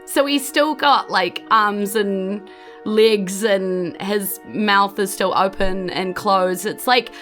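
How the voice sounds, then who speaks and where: A woman with a New Zealand accent, improvising narration as game master of a tabletop game, with halting, drawn-out phrasing. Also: medium pitch, a bit bright, soft background music.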